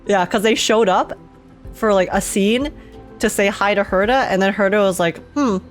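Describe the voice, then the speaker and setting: A woman's voice: mid-range voice, moderate pace. A young woman streamer talks through a game in a casual, informal way, with a mid-range voice. She thinks aloud chattily while light music plays behind her. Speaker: gaming streamer. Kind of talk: casual game reaction commentary.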